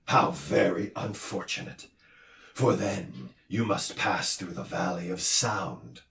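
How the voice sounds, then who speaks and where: A man voicing a pompous male doctor who lectures loudly and roars, in a storybook reading. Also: low-pitched, animated, slow, vocal fry, reverberant, far from the mic, a little hiss or hum, pitched below the performer's own voice, exaggerated voice. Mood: grave. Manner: loud, roaring, lecturing. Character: booming gruff male noise doctor, pompous.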